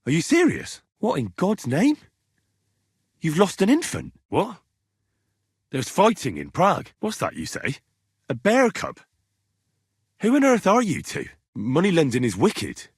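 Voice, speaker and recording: A man acting a young, plain-spoken medieval retainer in a video game, earnest and curious, with an expressive, conversational delivery. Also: a little high, unhurried, pauses often, slightly rough, dry recording, studio quality, full character voice. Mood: startled, incredulous. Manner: conversational, expressive, questioning, folksy turns of phrase.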